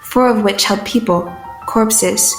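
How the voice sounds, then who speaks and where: A woman playing an observant heroine narrating an audio drama in a roomy echo with music underneath. Her mid-pitched voice is measured and literary, uneasy yet determined. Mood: measured narration. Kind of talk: first-person scripted narration in an audio drama.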